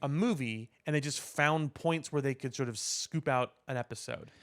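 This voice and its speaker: Halting, opinionated man on a podcast. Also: mid-range voice. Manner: halting, repeats words while building a point. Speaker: podcast co-host. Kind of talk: casual opinionated discussion.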